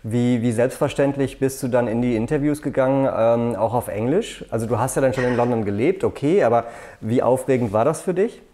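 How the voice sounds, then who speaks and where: Man, interviewer, encouraging questions, warm mellow voice, medium pitch. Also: fairly quick. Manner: encouraging, restarts often. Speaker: interviewer, host.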